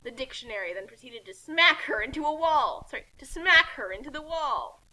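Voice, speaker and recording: Woman reading a fanfic out loud, really bright voice, super lively up and down, informal. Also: sits high, brisk, low-quality recording. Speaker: narrator reading a fan fiction. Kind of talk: animated informal story narration with asides.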